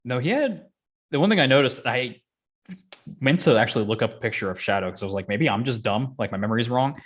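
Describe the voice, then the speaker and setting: A man's voice: medium pitch, lively intonation, quick pace. A man co-hosting a casual chat, joking and poking fun at himself as he goes. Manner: self-deprecating, joking. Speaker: podcast co-host. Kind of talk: casual banter.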